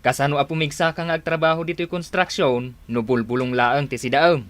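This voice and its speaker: A man's voice: on the high side, steady tone, a little fast, fairly bright tone, lightly acted. A man playing a nosy, teasing construction workmate in an Ilocano radio drama, with a northern Philippine accent.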